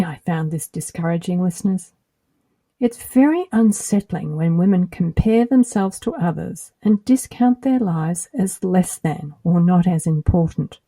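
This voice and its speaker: Australian woman, dark husky voice. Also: lowish voice, no room sound. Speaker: podcast host. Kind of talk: scripted solo podcast narration.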